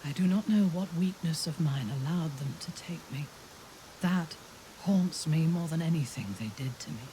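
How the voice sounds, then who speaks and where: A woman playing a proud, fierce dark elf warrior in a fantasy video game, speaking in a formal, deliberate, imperious way. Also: deep voice, a little hiss or hum, natural voice, rough recording. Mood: haunted. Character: proud fierce female drow warrior, devoted lover.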